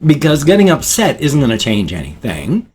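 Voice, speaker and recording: A man's voice: medium pitch, wildly swooping pitch, normal speed, darkish tone, husky. A man in his fifties vlogging from home at his desk mic, rambling and laughing at himself. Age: 50s. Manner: rambling, self-deprecating, chuckling. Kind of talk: casual chat. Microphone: desk microphone.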